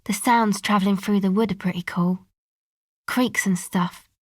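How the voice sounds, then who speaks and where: A woman's voice: medium pitch, fairly even intonation, unhurried, no room sound, lightly acted. An actress playing a guarded, laid-back young woman who leads a streetwise crew in a video game, speaking casually with dry understatement. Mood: casual, curious. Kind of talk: video game dialogue acting.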